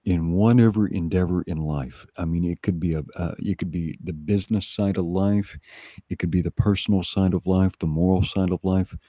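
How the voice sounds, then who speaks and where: A man's voice: sits low, warm tone, breathy edge, no echo, studio quality. A man co-hosting a casual livestream, talking emphatically and repeating phrases for weight. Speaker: co-host. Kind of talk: casual livestream pep talk.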